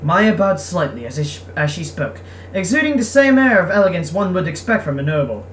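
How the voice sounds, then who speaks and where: A woman's voice: fairly low pitch, big pitch swings, a little fast. A woman gamer reading a visual novel aloud, breaking off for casual, irreverent, sweary commentary. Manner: irreverent, sweary, self-interrupting. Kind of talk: casual playthrough commentary and narration. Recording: distant mic, faint background noise.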